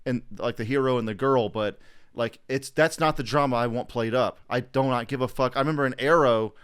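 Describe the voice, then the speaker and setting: Blunt, clear-voiced man in casual discussion. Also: medium pitch, fairly quick. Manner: blunt, profane. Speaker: podcast panelist.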